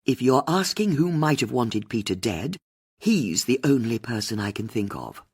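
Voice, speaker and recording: A woman's voice: a bass voice, big pitch swings, moderate pace, darkish tone, husky, full character voice. A woman voicing a bitter, faded older aristocratic divorcee in an audiobook, scornful and world-weary. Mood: guarded. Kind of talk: audiobook character dialogue.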